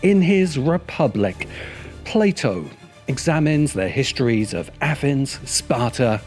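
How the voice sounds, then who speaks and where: Male essay narrator, sardonic and theatrical, pitch swinging widely, faint music underneath. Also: very animated, takes it slowly, a little mellow. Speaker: host and narrator of video essays. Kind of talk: scripted video essay narration.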